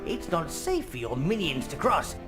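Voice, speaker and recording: A man's voice: a little high, wildly swooping pitch, normal speed. An actor voicing a fawning old minion advisor who gives sardonic advice to his master. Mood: advising. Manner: sardonic, obsequious asides. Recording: music behind.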